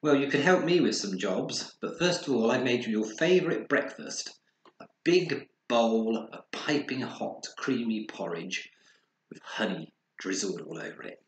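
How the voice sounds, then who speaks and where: A man's voice: medium pitch, animated, unhurried, natural voice. A man voicing a busy, caring mother bear in a children's story, calling and coaxing. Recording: roomy echo, faint background noise.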